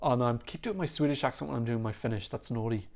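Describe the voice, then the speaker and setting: A man giving casual, excitable reaction commentary on songs, swearing freely as he chats. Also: medium pitch, fast, creaky. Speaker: Eurovision reaction YouTuber. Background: faint music playing.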